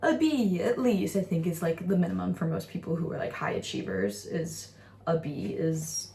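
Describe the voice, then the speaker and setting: Young American woman, lowish voice, vlogging. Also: expressive, normal speed, gravelly, warm tone, a little hiss or hum. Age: young adult. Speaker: student vlogger. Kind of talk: casual vlog chat with voice-over recaps.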